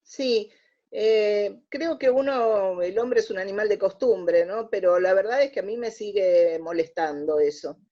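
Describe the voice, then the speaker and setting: A woman with an Argentine accent, speaking as an interview guest, telling anecdotes in a halting, reflective way. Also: medium pitch, mellow. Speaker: interview guest, radio theatre historian. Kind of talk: conversational interview, anecdotal storytelling. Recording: dull lo-fi audio.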